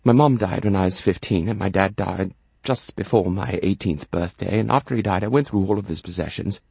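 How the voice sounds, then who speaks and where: A man's voice: fairly low pitch, creaky, darkish tone, slightly rough, no echo. An actor playing a posh, wistful Englishman with an English accent, confessing his past in a dramatic, precise solo monologue. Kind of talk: theatrical solo monologue narration.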